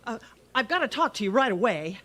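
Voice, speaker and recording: A woman playing a quick-witted schoolteacher with a dry, arch manner in an old-time radio comedy. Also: on the high side, big pitch swings, a bit bright. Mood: playful, arch. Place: live stage performance at a radio history event.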